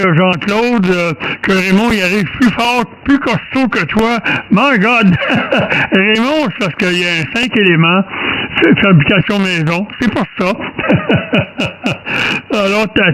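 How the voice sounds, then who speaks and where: A man's voice: high voice, fairly bright tone, slight background noise, rough recording. A jovial man with a Québécois French accent, chatting casually as an amateur radio operator. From Canada. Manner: jovial, chuckles often. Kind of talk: casual radio contact chat.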